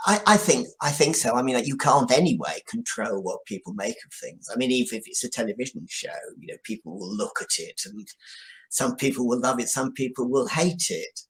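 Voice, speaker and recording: A man giving reflective interview answers as a guest, explaining things in a thoughtful, halting way. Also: medium pitch, big pitch swings, gravelly, low-quality recording. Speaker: guest, drama writer.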